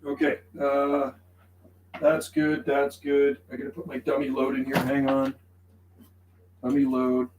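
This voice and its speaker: A man's voice: medium pitch, monotone, a little slow, pauses often. A man chatting casually as a CB radio operator on a livestream, folksy and repetitive, using radio slang. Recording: roomy sound with faint background noise.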